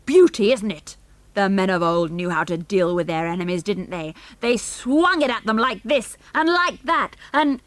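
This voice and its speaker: A woman's voice: mid-range voice, animated, moderate pace, dry recording, close to an everyday voice. A woman voicing a mischievous, defiant old great-grandfather in a storybook, exclaiming with glee. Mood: defiant, boastful.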